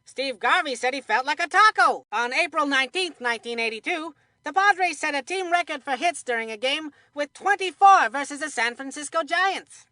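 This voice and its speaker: Casting: young folksy baseball commentator in a cartoon sports game, high bright voice, dry close sound, exclamatory trivia buff. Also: female voice, lively intonation, normal speed, hardly any pauses, dry recording. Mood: folksy, informative.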